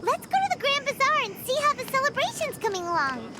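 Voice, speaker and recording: A woman voicing a small, excitable, chatty childlike fairy companion with a squeaky voice in a video game. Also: fast talker, full character voice. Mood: chirpy, businesslike. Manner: speaks of self in third person, exclamatory. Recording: music behind the voice.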